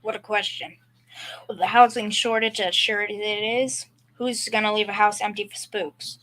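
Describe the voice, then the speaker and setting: A woman's voice: mid-range voice, fairly even intonation, normal speed, fairly bright tone, close to an everyday voice, poor audio. A young female performer playing a bumbling, confidently clueless working-class dad in a school old-time radio comedy, with folksy bad grammar. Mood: blustering, confident. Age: young teen.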